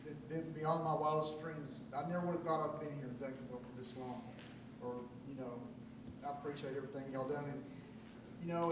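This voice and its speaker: A man, a small business owner, giving a halting thank-you speech. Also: fairly high pitch. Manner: halting, with many fillers. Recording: very echoey chamber, noisy, mic from far off.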